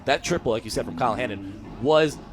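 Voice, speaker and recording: A man's voice: mid-range voice, expressive, fast talker. A young American man giving live color commentary and analysis between plays on a radio baseball broadcast. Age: young adult.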